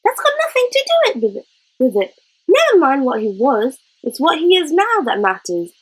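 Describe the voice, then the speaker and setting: A woman's voice: sits high, wildly swooping pitch, moderate pace, pitched above the performer's own voice, rough recording. A woman voicing an exasperated, house-proud older wife, scolding in a fretful, emphatic but motherly way, in a storybook reading.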